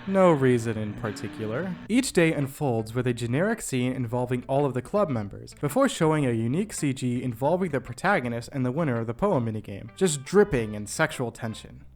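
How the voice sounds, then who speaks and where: A young man delivers scripted video essay narration with music underneath. His voice sits at a medium pitch, and his manner is jokey and self-deprecating, in the style of an online storyteller. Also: expressive, a little fast, few pauses, a little mellow. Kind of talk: scripted narration with comedic asides. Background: background music.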